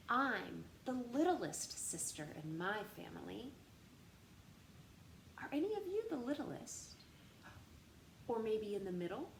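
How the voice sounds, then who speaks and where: A woman reading aloud expressively for young children as a storytime host, animated and addressing them directly with questions. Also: mid-range voice. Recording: roomy echo with faint background noise.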